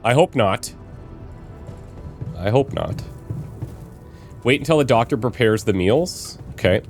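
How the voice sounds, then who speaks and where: Slightly raspy man with a cold. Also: medium pitch, lively intonation, takes it slowly, darkish tone, faint music behind. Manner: musing aloud, planning with fillers. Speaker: let's play host. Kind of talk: casual gameplay commentary.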